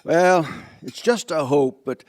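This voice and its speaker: An actor in a live radio play playing a gentle, weary older father, hesitant, earnest and folksy. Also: on the high side, slow, no echo.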